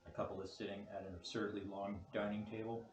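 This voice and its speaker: A man's voice: on the low side, level tone, quick pace. A man leading a script table read, talking casually through a project and reading screen directions aloud. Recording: echoey room, distant mic, loud noise.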